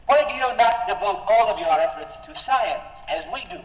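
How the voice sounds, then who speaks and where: An actor playing a formal, curious alien visitor who questions human ways in a 1950s radio drama. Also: male voice, sits high, lively intonation, moderate pace. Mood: puzzled, probing. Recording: echoey reverberant 1950s radio recording, hiss.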